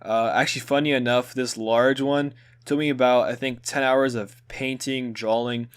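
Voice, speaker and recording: A young man whose first language is Hungarian, narrating a school video project in a relaxed, conversational way with fillers. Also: medium pitch, moderate pace, clean tone.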